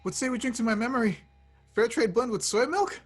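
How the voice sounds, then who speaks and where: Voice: mid-range voice, expressive, fairly quick, breathy edge, faint music behind. An actor playing an awkward, sardonic young slacker hero in a casual, wry table read. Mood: cocky. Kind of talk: amateur screenplay table read.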